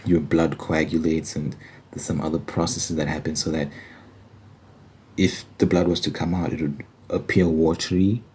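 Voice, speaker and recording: A man's voice: extremely low pitch, level tone, moderate pace, darkish tone, slight background noise. A man giving an informal, earnest Bible study monologue in an ASMR style, repeating phrases for emphasis.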